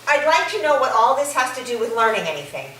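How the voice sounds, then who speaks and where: A woman's voice: on the high side, big pitch swings, fast. A woman playing a skeptical, irritable college student in a staged play reading, dry and sarcastic. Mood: annoyed, sarcastic. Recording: very echoey room, distant, noisy.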